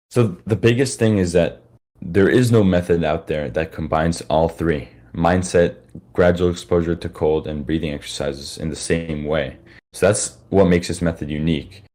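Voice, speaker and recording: Guy with a really low tired voice rambling through a chill lecture, lots of likes and ums. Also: darkish tone. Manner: casual, rambling, many fillers and restarts. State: tired, worn-out voice. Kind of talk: informal live lecture to listeners.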